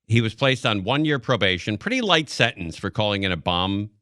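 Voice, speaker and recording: A male American talk radio host with a low, bright voice. He rambles through casual news commentary, pausing and thinking aloud. The sound is dry and close on a studio mic. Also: animated, no echo. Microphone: close broadcast studio mic.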